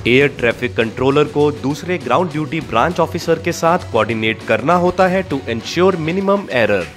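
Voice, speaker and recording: A man with an Indian accent reads a scripted explainer as a video narrator, slipping between Hindi and English phrases. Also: a little high. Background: background music.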